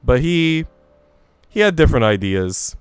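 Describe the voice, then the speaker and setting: A man reading a visual novel's young housemaid, who tells her story in a halting, self-deprecating way. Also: fairly high pitch, sing-song, smooth, no echo, faint music behind, voice pushed up. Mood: wistful, self-deprecating. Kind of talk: visual novel dialogue read aloud.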